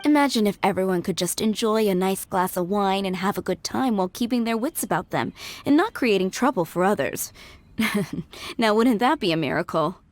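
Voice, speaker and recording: A woman's voice: medium pitch, expressive, moderate pace, close to an everyday voice. A woman playing a polite, composed head maid of an estate in a video game, speaking formally and attentively. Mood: composed, explanatory. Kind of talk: video game character acting. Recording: loud music behind the voice.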